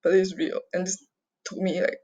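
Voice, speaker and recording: A young adult woman from Singapore who grew up overseas, giving an unscripted personal confession in a halting, repetitive, sweary way, sounding agitated and distressed. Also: fairly low pitch, little pitch movement, a little mellow. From Singapore, raised mostly overseas. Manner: halting, repetitive, sweary, often tags phrases with "okay?". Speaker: vlogger giving a personal account. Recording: dull lo-fi home recording.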